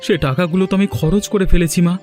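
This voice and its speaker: A man playing a naive, hopeful son in an animated village story, speaking simply and earnestly. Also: sits high, quick pace, soft background music, lightly acted. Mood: apologetic, earnest. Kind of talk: animated story dialogue acting.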